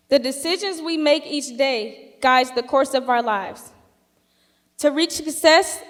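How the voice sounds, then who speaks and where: A woman's voice: sits high, long reverb tail. A young woman giving a heartfelt, earnest graduation speech. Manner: earnest and heartfelt, rising to loud cheers. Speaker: graduating student.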